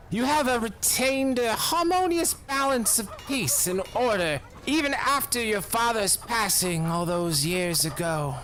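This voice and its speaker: A man's voice: a bit bright, heavily acted. An actor playing a vain, courtly young lord in his thirties, florid and theatrical, turning bitter and brooding. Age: 30s. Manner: florid, swooping and courtly, sinking low and brooding when upset. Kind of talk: audio drama acting. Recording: music bed under the voice.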